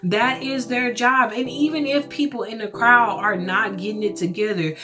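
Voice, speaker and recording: A woman speaks in a loud voice that carries, telling her church story casually but earnestly. She leans on her points and tosses in rhetorical asides. Soft guitar music plays clearly behind her throughout. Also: on the high side, steady tone, moderate pace. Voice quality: loud, carrying voice. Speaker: churchgoer sharing a personal testimony.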